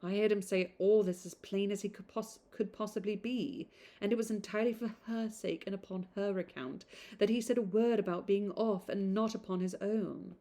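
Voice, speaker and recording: A woman's voice: medium pitch, fairly even intonation, moderate pace, dark tone, lightly acted. A woman voicing a gossipy, affected young woman from a period novel, chattering in exclamatory run-on sentences. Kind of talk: novel read aloud.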